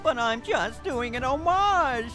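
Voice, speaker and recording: A man's voice: extremely high pitch, animated, slow, bright, forceful tone, faint music behind, full character voice. A man playing an earnest, dim, dutiful pirate first mate, deferential and theatrical, in a comedy skit. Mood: eager.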